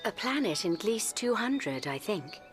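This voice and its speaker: A woman's voice: mid-range voice, big pitch swings, measured pace, faint music behind. An actress playing a tender, reassuring female companion in a radio drama. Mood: tender, soothing.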